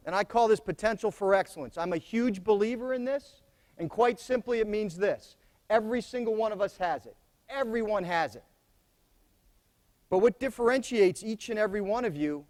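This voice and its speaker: A soft-spoken middle-aged American man giving opening remarks at a conference, plainspoken and repeating key phrases for emphasis. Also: high-pitched. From United States. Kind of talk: conference speech to students.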